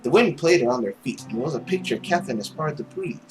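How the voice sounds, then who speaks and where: Expressive man's voice over music. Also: medium pitch, fast. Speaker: let's player narrating a visual novel. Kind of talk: casual gaming commentary and story read-aloud. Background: guitar music.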